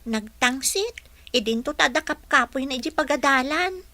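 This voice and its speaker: Woman acting an earnest, high-voiced schoolgirl daughter. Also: expressive, moderate pace, bright, forceful tone, no echo, heavily acted. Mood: aggrieved. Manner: earnest, polite. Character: poor, dutiful young schoolgirl daughter. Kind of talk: Filipino radio drama acting.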